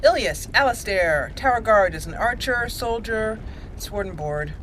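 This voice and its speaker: A woman's voice: on the high side, lively intonation, a little slow, fairly bright tone, a little hiss or hum, rough recording. A woman giving casual let's play commentary, chatty and thinking aloud as she plays. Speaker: gamer narrating a video game roleplay playthrough. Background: game music behind the voice.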